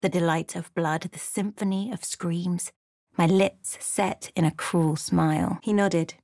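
A woman playing a wry, watchful female adventurer in a fantasy video game, with measured, dramatic storytelling delivery. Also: fairly low pitch, steady tone, a little mellow, no room sound, clean studio sound. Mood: measured, grave. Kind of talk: scripted fantasy game narration and dialogue.

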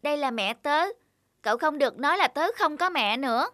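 A woman's voice: high-pitched, lively intonation, brisk, a bit bright. A woman voicing a plaintive, insistent little girl in a cartoon, longing for her mother. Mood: defiant. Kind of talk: cartoon voice acting.

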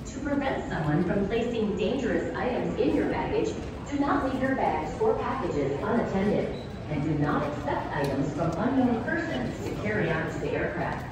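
A woman delivering a scripted airport security announcement over the public address system in a measured manner. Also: mid-range voice, expressive, distant mic. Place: large airport terminal, indoors. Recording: echoey PA heard from afar, loud noise.

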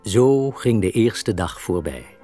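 A man's voice: fairly low pitch, expressive, takes it slowly, mellow, husky, faint music behind. A man narrating a scripted children's story as its storyteller.

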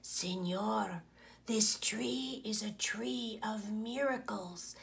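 A woman voicing a boa constrictor in a storybook, in a slow, solemn, breathy hissing whisper, pleading for its home. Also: medium pitch. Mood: hushed warning. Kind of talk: storybook read-aloud.

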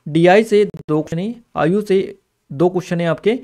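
A man's voice: sits high, moderate pace, mellow. A man giving an instructive exam-review lesson, reading notes aloud and explaining them as a coaching teacher. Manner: instructive, repeats key points.

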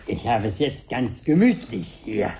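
A man's voice: mid-range voice, sing-song, unhurried, creaky, mellow. A man playing a fussy, proud, elderly caretaker with a homely, self-important manner in a 1970s German radio play. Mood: proud, cosy. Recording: hissy 1970s radio drama recording.

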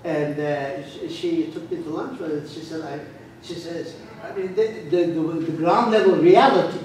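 An older man from Turkey speaking as a guest lecturer in a room discussion, halting, with many restarts and fillers. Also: a little high, darkish tone. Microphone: podium microphone, standing. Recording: echoey room, distant mic, faint noise.

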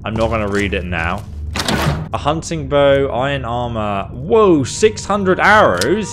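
Excitable man commentating his gameplay. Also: a little slow. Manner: excitable, exclaims with big pitch jumps. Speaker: gaming YouTuber. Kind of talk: let's-play commentary. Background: game music.

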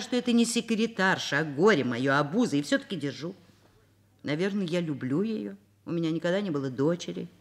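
Radio drama lead: middle-aged female professor, mid-pitched, animated, reflective and dryly matter-of-fact, wearily indulgent. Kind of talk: radio drama acting and first-person narration.

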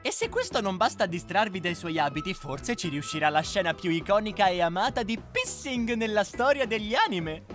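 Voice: mid-range voice, lively intonation, fairly quick, hardly any pauses, fairly bright tone, soft background music. A narrator reading a scripted comedic parody in a sarcastic, emphatic way.

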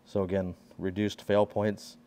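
A man with a fairly low voice walks through a build in his workshop, talking casually as he films on a handheld phone. He explains each part plainly and hands-on, like a builder showing a customer around. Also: flat delivery, unhurried, dark tone. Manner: explaining hands-on while filming himself. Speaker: pressure washing skid builder. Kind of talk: casual walkaround tour.

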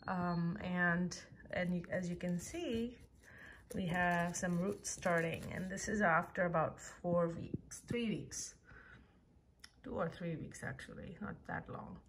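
A woman gives a casual how-to at her kitchen table, talking slowly with plenty of "um"s and corrections. Her voice is gravelly and on the low side, recorded close on a handheld camera with a faint hiss underneath. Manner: unhurried, with many fillers and self-corrections. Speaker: home gardener. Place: home, at a wooden table indoors.

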